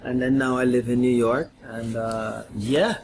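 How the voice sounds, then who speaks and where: A man's voice: mid-range voice, steady tone, unhurried, darkish tone, a little hiss or hum. A man with a Jamaican accent who grew up in Ghana, chatting as a guest in a radio studio with an emphatic manner. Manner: emphatic, repeats "yes". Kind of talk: casual chat. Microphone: radio studio mic.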